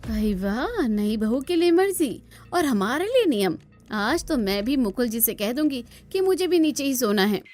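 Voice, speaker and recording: Cartoon daughter-in-law voiced by a woman: young, high, sharp-tongued, gossipy, music bed. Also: sing-song, normal speed. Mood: defiant. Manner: complaining, gossipy.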